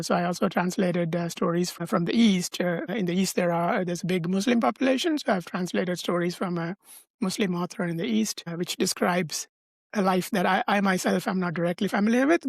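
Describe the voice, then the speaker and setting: A middle-aged man, answering interview questions in a measured, thoughtful way over a video call. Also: high voice, creaky, no room sound. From Sri Lanka. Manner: measured, thoughtful, with frequent hesitations and restarts. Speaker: guest, professor and translator.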